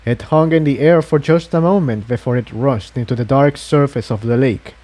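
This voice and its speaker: A man reads an adventure story as narrator. His mid-pitched voice is mellow and soft-edged, recorded dry and close. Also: normal speed, no echo. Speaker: fan fiction reader, narrator.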